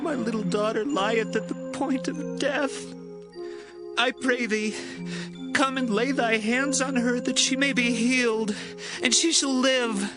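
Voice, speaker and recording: A man's voice: extremely high pitch, fairly even intonation, a little slow. An actor playing an anguished father and synagogue ruler, urgently beseeching in dramatized scripture. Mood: desperate. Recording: loud music behind the voice. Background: music.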